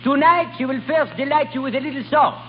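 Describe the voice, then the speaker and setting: A man's voice: extremely high pitch, a little fast. An actor playing a florid male cabaret master of ceremonies in a vintage radio drama, announcing grandly. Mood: showy. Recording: old radio broadcast, tinny, hiss, crowd behind.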